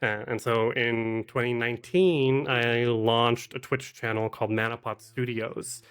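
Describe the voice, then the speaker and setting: A man's voice: mid-range voice, fairly even intonation, unhurried, a bit bright, clean tone. Reflective man in a casual interview. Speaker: podcast guest, actual play streamer and producer.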